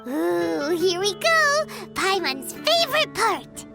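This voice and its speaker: A woman voicing a tiny, excitable childlike fairy companion in a video game, squeaky and exclamatory. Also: takes it slowly, gravelly, full character voice. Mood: delighted. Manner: exclamatory, refers to herself by name. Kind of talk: animated video game acting. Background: music.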